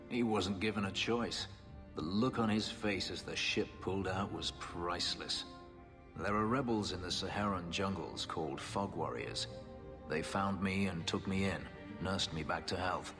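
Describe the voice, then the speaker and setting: A man's voice: deep voice, unhurried, in a large hall, music in the background. An actor playing a brooding, wry male escaped slave who tells his story dryly in a fantasy video game. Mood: reflective, bitter. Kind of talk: cinematic game dialogue, storytelling.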